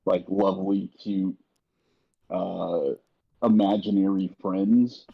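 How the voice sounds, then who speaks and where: A man in a casual panel chat, talking haltingly with repeated and restarted phrases, over a slightly dull lo-fi connection. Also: sits low, level tone, creaky. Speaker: guest on an audio drama after-show.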